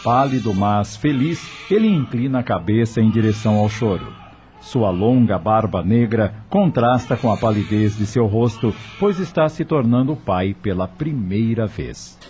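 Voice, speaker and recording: A man with a husky voice reading scripted narration for a radio drama. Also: on the low side, animated, measured pace, mellow, soft background music.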